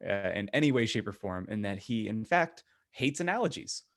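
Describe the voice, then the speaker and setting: Low-voiced man chatting, dry close sound. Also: sing-song, normal speed, a bit bright, dry recording. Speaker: podcast co-host. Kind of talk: casual chat.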